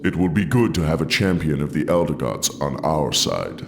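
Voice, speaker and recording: An actor playing a solemn thunder god in a video game, formal and authoritative, calling on warriors. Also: male voice, very deep voice, sing-song, normal speed, creaky, noisy background, full character voice. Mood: solemn, urgent. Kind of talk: video game cutscene acting.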